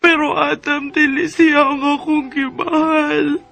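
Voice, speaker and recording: High-voiced heartbroken young Filipina bride. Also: female voice, little pitch movement, unhurried, vocal fry, a bit bright, dry recording, full character voice. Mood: tearful. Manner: emotional, reproachful. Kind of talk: Filipino radio drama acting. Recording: music bed under the voice.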